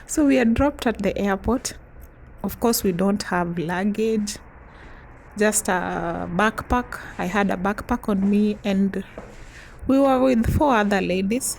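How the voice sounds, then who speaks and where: A young woman from East Africa tells a personal story to her vlog audience in an unhurried, easygoing way. Her voice carries a gravelly edge, and soft laughs keep slipping between her sentences. A faint hum of noise sits under the recording. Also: medium pitch. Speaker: vlogger.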